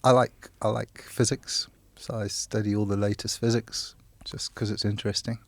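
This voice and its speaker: A man's voice: fairly low pitch, level tone, moderate pace, no room sound. A man in his sixties from Kenya and Zimbabwe, a teacher and handyman, talking in a casual family interview with self-deprecating, chuckling humour.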